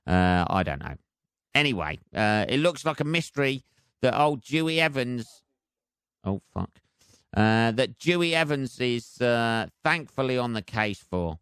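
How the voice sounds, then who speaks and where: A British man hosts a solo comedy podcast in a clear, smooth voice, recorded dry and close. He rambles casually and sardonically, swearing freely as he rants. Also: mid-range voice, animated, a little slow, a bit bright, dry recording. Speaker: podcast host.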